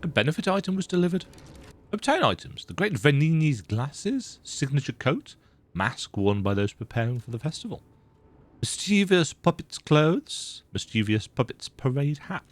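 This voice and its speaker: A man with a croaky voice giving casual, rambling, self-deprecating commentary while he plays a video game. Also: medium pitch, sing-song, normal speed. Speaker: let's play gamer.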